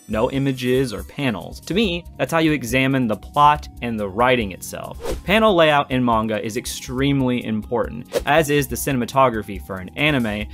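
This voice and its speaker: A man giving animated, argumentative commentary in a response video, explaining his points emphatically. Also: mid-range voice. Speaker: video essayist responding to another creator.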